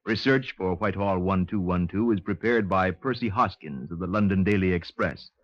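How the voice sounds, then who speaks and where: A man reading scripted 1950s radio announcements in a formal, measured way. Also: low voice, no room sound. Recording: dull, dry 1950s radio recording.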